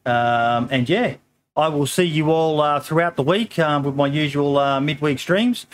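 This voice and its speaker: A middle-aged man hosts a relaxed podcast with a slightly hoarse voice. He talks in an easygoing, rambling way, filling pauses with ums and calling people mate. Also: a little high. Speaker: podcast host. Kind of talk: casual podcast chat.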